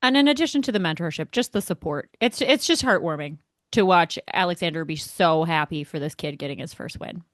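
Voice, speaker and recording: A woman's voice: lowish voice, expressive, brisk, no echo, studio quality. A woman co-hosting a motorsport show, chatting casually about the sport. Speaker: motorsport podcast co-host.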